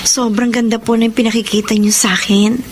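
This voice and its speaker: An actress playing a caring, worried young Filipina in a radio drama, speaking earnestly and with emotion. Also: female voice, fairly high pitch, flat delivery, brisk, natural voice. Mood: worried, respectful. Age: young adult. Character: confused, caring young woman worried for her boyfriend. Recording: background ambience noise.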